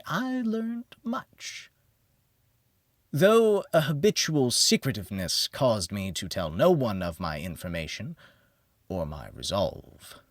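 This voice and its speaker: A man narrates a first-person gothic horror audiobook in a theatrical style. His mid-pitched voice moves slowly and deliberately, sinking into hushed phrases and rising into emphatic ones with a very animated range. The sound is dry, with no sense of room around him. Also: no room sound.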